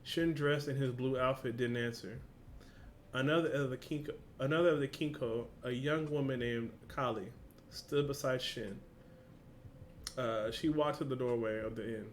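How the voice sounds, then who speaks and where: A man's voice: medium pitch, normal speed. Casual male reactor, noticeable background noise. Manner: slangy, informal, swearing. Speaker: reaction video host. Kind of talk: reading a story aloud with casual reactions.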